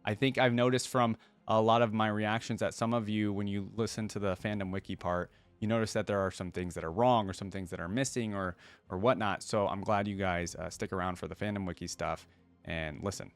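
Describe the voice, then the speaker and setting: A man hosting a reaction video, giving casual, excitable commentary in slangy language and addressing his viewers directly on a close mic. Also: lowish voice, brisk, no room sound.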